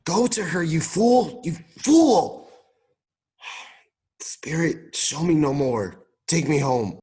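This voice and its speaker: A young man playing a troubled, regretful old miser in a radio play, with a husky voice. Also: a little high, animated, slow pace, dark tone, heavily acted. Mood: anguished. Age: young adult.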